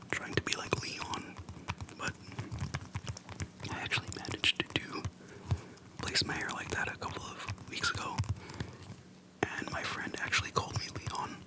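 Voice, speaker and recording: An ASMR host speaking in a soft, breathy unvoiced whisper, slow and hushed with pauses between short phrases, close to the microphone. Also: low-quality recording. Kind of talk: whispered ASMR, relaxed personal chat. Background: tapping on a plastic case.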